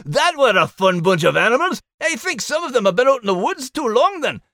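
A man's voice: very high voice, very animated, moderate pace, sharp tone, dry recording, studio quality, heavily acted. A man playing a folksy, forgetful, jolly story host with a Scottish accent. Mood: jolly, bemused. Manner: folksy, chuckling. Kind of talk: scripted podcast character hosting.

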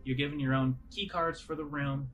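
A man acting as game master, describing scenes in a halting, conversational, improvised way. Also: a little high, steady tone, fairly bright tone, a little hiss or hum, poor audio. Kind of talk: improvised tabletop game narration. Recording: music playing under the voice. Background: background music.

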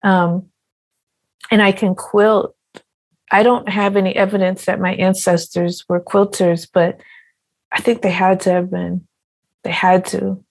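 A woman artist telling her story in an interview, slow and reflective, with small fillers and repeats. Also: medium pitch, little pitch movement, vocal fry. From partly Ghanaian heritage. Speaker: quilt artist guest.

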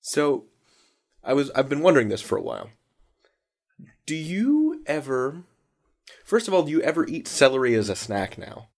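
A man's voice: on the high side, big pitch swings, normal speed, long pauses. A man asking questions casually as the host of an interview show.

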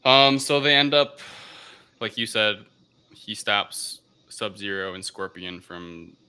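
A man co-hosting a casual film review podcast, speaking in a hesitant, halting way as he searches for words. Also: fairly low pitch, expressive, bright, forceful tone, smooth. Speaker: podcast co-host.

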